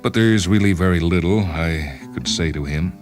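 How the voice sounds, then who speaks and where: A man reading a first-person short story in a wry, measured, literary way, as a dramatic narrator. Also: low-pitched. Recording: music bed behind the voice. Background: instrumental music with guitar.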